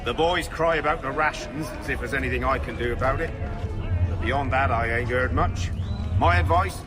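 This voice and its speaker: A man voicing a grumbling ship's cook in a video game. His voice sits at a middling pitch, offhand and colloquial but helpful, with music clearly behind it. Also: normal speed, close to an everyday voice.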